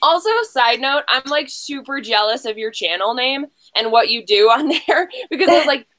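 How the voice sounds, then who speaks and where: Bright, high-voiced woman hosting, chatty and rambling. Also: moderate pace. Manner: gushing, rambling with self-interruptions and slangy asides. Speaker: game show host. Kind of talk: casual livestream hosting, chatty.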